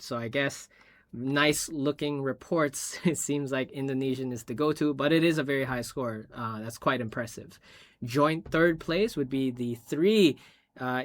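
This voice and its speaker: Brisk man reading scores. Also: fairly high pitch, fairly bright tone, dry recording. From Hong Kong. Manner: reads numbers off quickly, chatty asides. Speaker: tech video host. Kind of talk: casual commentary on chart results.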